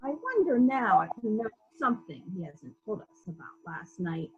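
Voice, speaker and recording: A woman playing a brisk, suspicious police investigator questioning witnesses in a dramatic murder mystery reading. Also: medium pitch, very animated, dull audio, lightly acted. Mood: suspicious, musing. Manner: probing, businesslike, sceptical. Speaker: library staff member reading a part.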